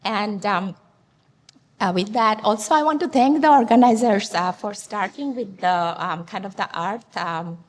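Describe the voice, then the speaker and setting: A woman from Bangladesh speaking on a conference panel about climate, her pitch mid-range. She talks earnestly and sometimes restarts her words. A long reverb rings through the hall. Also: a little slow.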